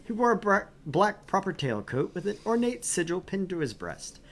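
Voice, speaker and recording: Lively man reading a game aloud. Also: sits high. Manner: animated, quick to laugh. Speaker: streamer reading a visual novel aloud. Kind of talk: game stream reading and chat.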